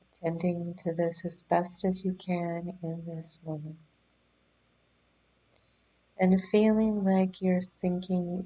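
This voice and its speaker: Female meditation guide, soft-edged mellow tone, very unhurried delivery, gentle and soothing instructions. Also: on the low side, poor audio. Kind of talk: guided body scan meditation.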